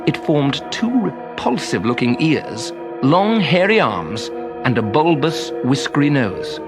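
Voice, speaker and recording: Animated male storyteller. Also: on the high side, a little slow, music in the background. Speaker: story narrator. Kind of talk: expressive children's storybook narration.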